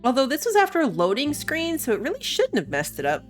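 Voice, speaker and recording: Lively woman commenting casually on a game. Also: sits high, fast talker. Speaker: video game streamer. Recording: game music behind the voice.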